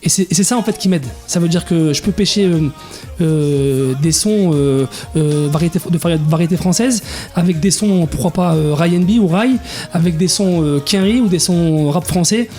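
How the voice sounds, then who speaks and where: A man's voice: fairly high pitch, normal speed, mellow, soft background music. Hesitant young man in his twenties. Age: 20s. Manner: hesitant, many restarts and fillers. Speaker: DJ guest. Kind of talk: casual interview chat.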